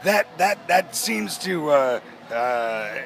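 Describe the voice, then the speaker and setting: A man in a band answering interview questions at a festival, his voice naturally hoarse, talking in a halting way with many restarts and fillers. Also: fairly high pitch, sing-song, crowd noise. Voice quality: hoarse-sounding. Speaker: rock band member. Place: outdoors at a music festival.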